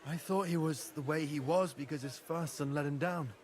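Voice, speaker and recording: A man acting as a proud, dutiful young medieval lord in a video game. He speaks in a somewhat high voice, formal and earnest, as a loyal younger son. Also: normal speed, slight background noise, natural voice. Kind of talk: dramatic game dialogue in a medieval setting.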